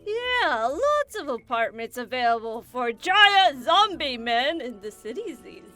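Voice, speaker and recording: A woman plays a huge, jaded swamp zombie with a wry, complaining way of talking. The voice is high and swings around a lot, in a comic radio play. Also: big pitch swings, a little slow, pushed and bright, faint music behind, full character voice. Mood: sardonic. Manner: sardonic, chatty. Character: jaded nine-foot swamp zombie man, wryly complaining.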